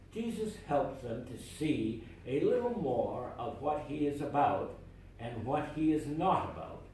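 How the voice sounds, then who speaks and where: A man reading a sermon aloud in a church, with a measured, deliberate delivery and emphatic phrasing. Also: mid-range voice, darkish tone, slight background noise. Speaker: lay reader delivering a sermon. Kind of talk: read sermon. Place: church sanctuary. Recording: very echoey church from far off.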